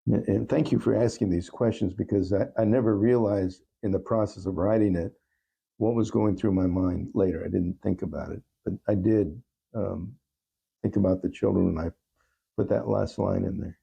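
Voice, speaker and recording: A man speaking as an interview guest, giving earnest, thoughtful answers in a halting, reflective way. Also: low voice, fairly even intonation, creaky, warm tone, slightly rough. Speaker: talk show guest.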